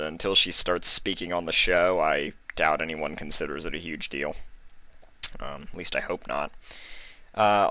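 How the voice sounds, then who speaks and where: A man's voice: deep voice, pushed and bright, dry recording. A man reading a story aloud as its narrator. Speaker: fan fiction reader and narrator.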